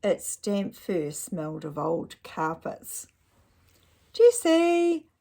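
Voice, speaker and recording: A woman's voice: lowish voice, lively intonation, takes it slowly, creaky, darkish tone, breathy edge. A woman reading a children's picture book aloud expressively, with chatty asides and questions to young listeners.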